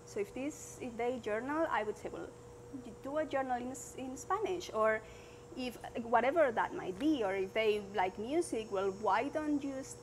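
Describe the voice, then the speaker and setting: Woman teacher, slightly high voice, animated and warm about students. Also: normal speed, a little hiss or hum, rough recording. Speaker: language teacher. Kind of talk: conversational interview answers.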